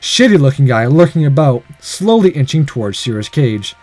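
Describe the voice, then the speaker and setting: A man's voice: medium pitch, sing-song, moderate pace, darkish tone, no echo. A man reading a visual novel aloud dramatically, breaking in with sarcastic, exclamatory commentary.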